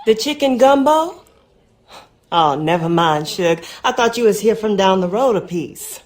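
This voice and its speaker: An actress playing a folksy small-town tavern barmaid in a video game, with a casual Southern US drawl. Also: female voice, medium pitch, lively intonation, unhurried, close to an everyday voice. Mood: welcoming, casual. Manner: casual, familiar, colloquial drawl.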